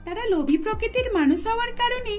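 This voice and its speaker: A woman with an extremely high-pitched voice narrates a scripted cartoon tale. Music plays clearly behind her storytelling. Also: expressive, fast.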